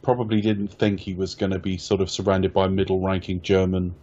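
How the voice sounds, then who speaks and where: A man's voice: sits low, level tone, brisk, dark tone. A man from Ireland hosting a casual film review podcast, rambling and wry, often correcting himself. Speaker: podcast host. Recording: dull lo-fi podcast audio.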